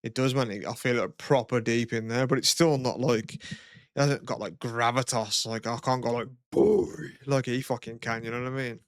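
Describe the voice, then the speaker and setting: A man, casually chatting as a podcast co-host, relaxed and swearing freely, with a low throaty voice. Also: normal speed, dry recording, clean studio sound. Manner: laid-back, swears a lot, lots of "you know what I mean". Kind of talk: casual chat.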